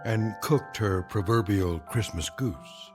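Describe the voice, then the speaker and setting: A solemn male storyteller narrates an eerie radio play. His lowish voice is measured and deliberate, with clear music underneath. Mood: wry, portentous. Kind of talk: scripted radio drama narration.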